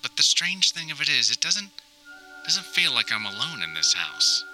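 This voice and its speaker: A man's voice: fairly high pitch, sing-song, normal speed, bright, forceful tone, faint music behind, exaggerated voice, poor audio. A man playing an anxious, wry grown son recording a wordy, mock-dramatic audio diary. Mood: wary, ominous. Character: anxious, wry grown son lost in hoarder mother's house. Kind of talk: scripted comic audio diary monologue.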